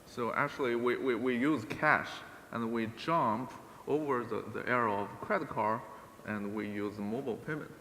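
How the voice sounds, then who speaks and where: A man's voice: moderate pace, a bit bright. A man from China speaking on a conference panel, direct and brief, with frequent short agreements. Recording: echoey hall with faint rumble.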